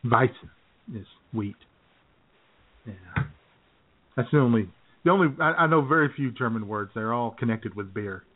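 A man co-hosting a radio comedy show in casual banter, jokey and a little halting, often repeating his phrases. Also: medium pitch. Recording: muffled phone-like internet radio audio.

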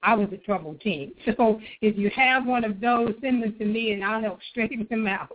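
A woman's voice: a little high, moderate pace. A middle-aged woman from New York, a guest author on a phone interview, telling her story in an earnest, motivational way. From New York, United States. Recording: tinny phone line.

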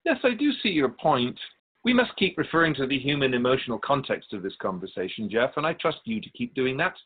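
A man playing a serene, wise otherworldly keeper of souls in a radio drama, speaking in a measured, formal, instructive manner. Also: medium pitch, very animated, narrow, tinny audio. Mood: gently admonishing.

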